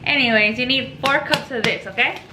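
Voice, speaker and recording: Woman, native Spanish speaker, casual home cooking vlogger, rambling, a bit high-pitched, noisy background. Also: brisk. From Mexico. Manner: rambling, informal, self-deprecating. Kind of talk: casual chatty cooking video. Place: home kitchen. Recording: echoey kitchen with background noise.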